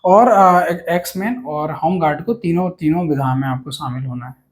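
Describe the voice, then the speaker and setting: A man's voice: on the high side, moderate pace. A man giving an informal explainer to students, repeating key points emphatically and often checking that they follow. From India. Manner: repetitive, emphatic, with frequent check-in tags. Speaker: host of a police exam advice channel.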